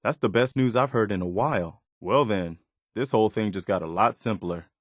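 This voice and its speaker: Casting: male actor as steadfast, hopeful militia officer for a game; lowish voice, sincere measured delivery, close dry studio sound. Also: no room sound, close to an everyday voice. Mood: determined, briefing. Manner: sincere, measured, plain-spoken. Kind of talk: video game dialogue acting.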